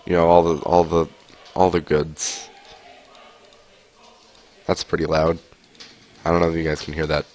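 A man's voice: extremely low pitch, flat delivery, unhurried, pauses often, a little mellow. A young man with a cold, chatting casually as he streams a game on a headset mic at home, rambling with lots of fillers. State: has a cold. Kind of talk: casual gameplay commentary. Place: home room, indoors.

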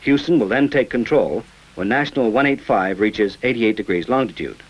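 A man reading scripted narration for an old informational film in a measured, formal manner. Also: mid-range voice, breathy edge, muffled recording. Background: background music.

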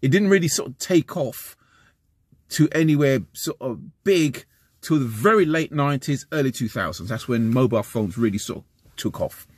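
A West London man in his fifties rambles to camera from the cab of a van, restarting sentences as he goes. His voice sits at a mid pitch and comes across close and dry, with no room echo. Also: normal speed, slightly rough. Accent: West London English. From London, England. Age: 50s. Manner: rambling, repeats and restarts, animated gestures. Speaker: vlogger. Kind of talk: casual talking-to-camera vlog. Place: inside a parked van, small enclosed space. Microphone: camera close in front of face.

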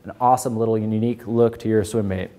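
A man's voice: fairly low pitch, steady tone, mellow. A young man presenting new fishing tackle in an upbeat, salesy rundown, recorded indoors in a tackle store on a lapel mic. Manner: enthusiastic, salesy, quick filler-laden delivery. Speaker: tackle shop product presenter. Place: indoor tackle store aisle with a wall of lures.

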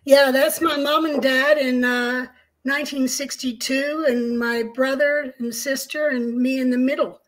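A middle-aged woman from Australia, co-hosting a video-call chat at home, telling her story in a calm, measured way. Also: high voice, poor audio. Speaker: co-host. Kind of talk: casual interview chat. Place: home room, indoors.

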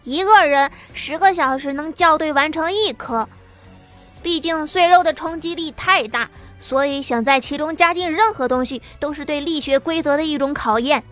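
High bright kid voice, clever little gun maker, brisk and to the point, a woman doing it. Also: soft background music. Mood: businesslike. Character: boy, clever young weapon maker.

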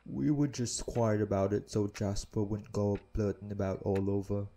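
A man playing a calm, protective orc head of security, speaking in a measured, reassuring way in an audio roleplay. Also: lowish voice, very noisy, close to an everyday voice. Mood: calm, knowing. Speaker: voice actor. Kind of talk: intimate audio roleplay acting.

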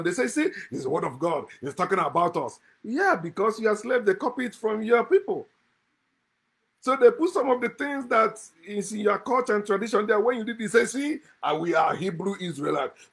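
A man's voice: fairly high pitch, breathy edge. A middle-aged man gives a fiery, scornful monologue to camera from a room indoors, chuckling between his points. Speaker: channel host. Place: indoor room, seated at a desk.

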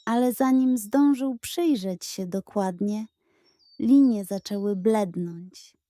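A woman narrating a scripted children's bedtime story in a gentle, expressive storytelling manner. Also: medium pitch, slow, no echo, studio quality.

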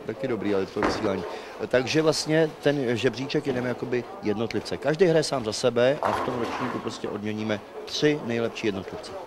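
A man organizing a bowling tournament, talking casually into a handheld mic with relaxed fillers. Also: mid-range voice. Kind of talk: casual on-camera bowling chat. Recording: noisy crowded room. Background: loud crowd chatter.

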